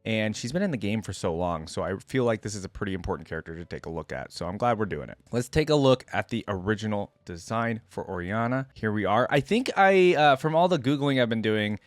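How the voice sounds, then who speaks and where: A man's voice: lowish voice, lively intonation, brisk, hardly any pauses, no echo. A man giving casual, easygoing reaction commentary as a video host, rambling and thinking aloud.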